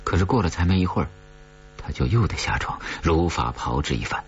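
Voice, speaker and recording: A man's voice: low-pitched, lively intonation, a little fast. A man reading a scripted horror story as an audiobook narrator, in a dramatic storytelling manner. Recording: dull recording with mains hum.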